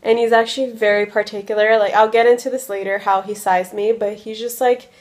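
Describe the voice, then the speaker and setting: A woman's voice: mid-range voice, little pitch movement, fairly quick. A woman in her early twenties chatting casually in a vlog, drowsy from medication, rambling with lots of likes and ums. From United States. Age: 20s. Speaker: vlogger.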